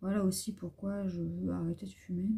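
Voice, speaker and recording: A hoarse woman vlogger chatting casually and meanderingly to her viewers into a phone at home. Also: lowish voice, level tone, a little fast, mellow. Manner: rambling, meandering talk.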